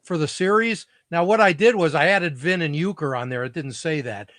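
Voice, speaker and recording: A man hosting a casual interview show over a video call, friendly and quick to agree. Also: fairly high pitch, a bit bright, no echo, studio quality. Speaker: host.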